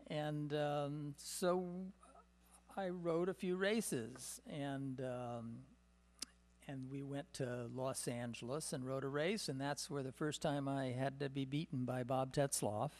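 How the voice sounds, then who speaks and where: An older man with a gravelly voice talks into a desk microphone in a meeting room. He tells long, rambling stories from his past, full of "uh" and "um" fillers and small chuckles. His voice sits at a middling pitch for a man. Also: fairly even intonation, slow pace, darkish tone. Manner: storytelling with many "uh" and "um" fillers, chuckling. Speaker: symposium panelist. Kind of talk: rambling personal reminiscence. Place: meeting room, seated at a table. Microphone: desk microphone on a stand.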